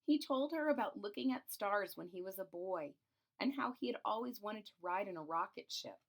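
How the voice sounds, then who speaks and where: Woman reading a children's picture book aloud. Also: medium pitch. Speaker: storybook reader and channel host.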